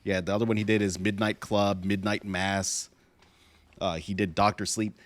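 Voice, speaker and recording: A man co-hosting a podcast, talking casually and haltingly as he searches for words and repeats himself. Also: lowish voice, little pitch movement, no echo. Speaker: podcast co-host. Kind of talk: casual podcast discussion about movies.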